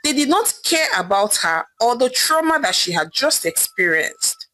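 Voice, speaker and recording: A woman narrating a scripted folktale in a dramatic, expressive storytelling manner. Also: on the high side, moderate pace, rough recording. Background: background music.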